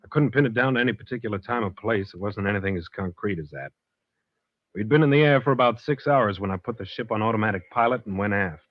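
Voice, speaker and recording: An actor playing a tough, wry American war-veteran pilot in an old-time radio drama, speaking in a clipped, laconic way. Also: male voice, fairly low pitch, fast talker, no room sound, close to an everyday voice. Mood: reflective narration. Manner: clipped, laconic, hard-boiled. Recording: dull, noisy old radio show recording.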